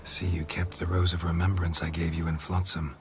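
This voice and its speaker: An actor playing a laconic, weary, guarded monster hunter in video game dialogue, speaking tersely with understatement. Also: male voice, deep voice, level tone, fairly quick. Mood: guarded, subdued. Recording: music playing behind the voice.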